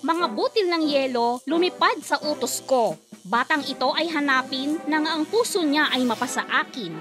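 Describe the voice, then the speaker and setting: Woman voicing a high, menacing wicked snow queen. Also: moderate pace, over music, natural voice. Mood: commanding, spellcasting. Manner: commanding, menacing. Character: wicked, haughty snow queen casting spells. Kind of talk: children's fairy tale storytelling.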